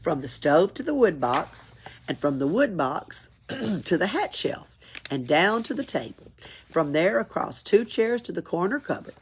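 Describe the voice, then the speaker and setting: A woman's voice: fairly low pitch, measured pace, slight background noise. A woman reads a children's story aloud expressively, as a library storyteller. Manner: expressive, chuckles and adds asides. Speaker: library read-aloud reader.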